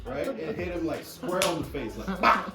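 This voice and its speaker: Brief: playful game narrator, mid pitch, heard from off mic in a roomy space with music. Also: moderate pace, far from the mic. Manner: animated, storytelling, playful. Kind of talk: running a party game.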